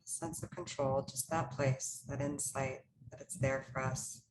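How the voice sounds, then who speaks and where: A woman with a low, level voice guides a meditation. She speaks in soothing, short phrases and leaves space between them. Her intonation stays very even throughout. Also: background noise. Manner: slow, pausing, soothing instructional delivery in short phrases.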